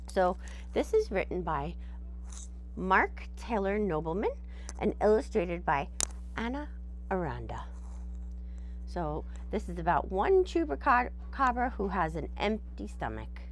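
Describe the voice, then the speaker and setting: A woman's voice: medium pitch, very animated, measured pace, long pauses, a little hiss or hum. A woman teacher reading a storybook aloud and patiently explaining it in simple terms, often checking in with "right?".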